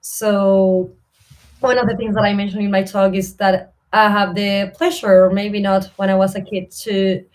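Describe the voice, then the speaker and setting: A woman's voice: medium pitch, level tone, normal speed, a little mellow. Argentine woman chatting as a guest. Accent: Argentine Spanish. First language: Spanish. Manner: friendly, rambling, filler-heavy. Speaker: interview guest, service designer. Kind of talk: casual interview chat.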